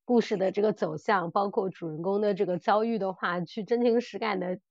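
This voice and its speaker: A woman co-hosting a casual podcast, speaking haltingly and repeating phrases as she builds her point. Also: medium pitch, a little mellow, no echo. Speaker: podcast host. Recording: slightly dull, dry audio.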